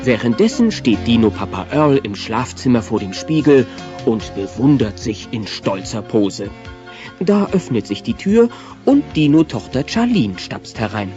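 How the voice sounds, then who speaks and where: A man narrating a German children's audio drama in a mellow, scripted storybook style. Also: medium pitch, sing-song, unhurried. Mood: storytelling. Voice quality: mellow, warm. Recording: dull cassette recording, faint music behind.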